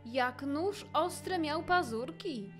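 A woman with a fairly high voice narrates a rhymed fairy tale. She is expressive and takes her time, with music softly behind her. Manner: expressive storytelling, measured.

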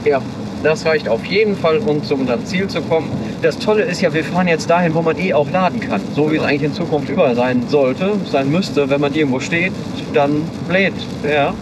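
A man riding as a passenger in a car, chatting and explaining in an easy way. His voice sits a bit high for a man. Road noise from the moving car stays clearly audible behind him. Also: brisk. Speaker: car vlog co-host, passenger. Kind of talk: casual in-car chat.

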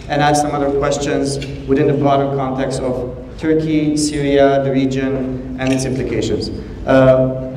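Halting male moderator in an echoey hall. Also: medium pitch, a little mellow. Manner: halting, measured, pausing mid-sentence. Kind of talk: moderating a public forum, unscripted. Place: large hall stage, seated at table. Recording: echoey hall, distant, faint noise.

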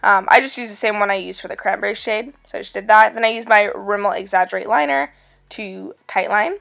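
Raspy-voiced woman getting over a cold. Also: medium pitch, a little fast, a bit bright. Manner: chatty and upbeat. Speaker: beauty vlogger. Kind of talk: makeup tutorial voice-over.

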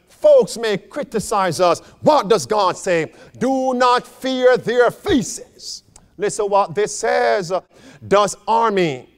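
A man preaching a sermon as a pastor, repeating phrases for emphasis and putting questions to his listeners. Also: high-pitched. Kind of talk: sermon with scripture and quotations read aloud.

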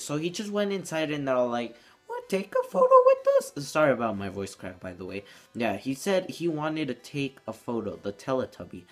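A teenage young man telling a story casually to camera in a small room indoors, as a vlogger. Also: mid-range voice.

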